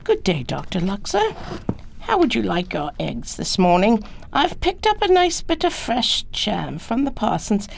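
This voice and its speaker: A woman's voice: mid-range voice, wildly swooping pitch, measured pace, creaky, slight background noise, heavily acted. A woman playing a chatty, motherly, gossipy housekeeper with a folksy manner in an audio drama. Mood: cheery, fussing.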